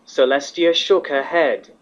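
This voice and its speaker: A woman reading fan fiction as the narrator, in an expressive scripted storytelling style. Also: low voice, a little slow. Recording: slightly dull, muffled audio.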